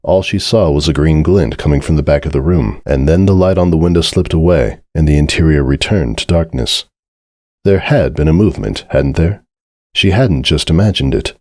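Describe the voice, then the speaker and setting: A man's voice: extremely low pitch, moderate pace, darkish tone, no room sound, studio quality. A man reading a scripted story as narrator, in a dramatic storytelling style.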